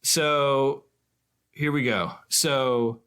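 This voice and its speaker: A man's voice: medium pitch, fairly even intonation, drawn-out words, a bit bright, clear, resonant tone. Male film podcast host. Informal, conversational, slangy, tends to ramble. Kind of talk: casual chat about films.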